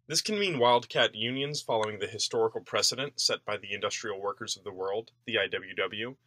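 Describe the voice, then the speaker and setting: Bright, forceful man reading an essay. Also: fairly low pitch, fairly quick. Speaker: reader of a political essay. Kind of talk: scripted narration, read-aloud essay.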